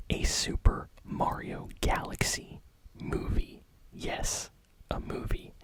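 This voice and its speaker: Whispering woman ASMR gaming news host. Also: low-quality recording. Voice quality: breathy unvoiced whisper.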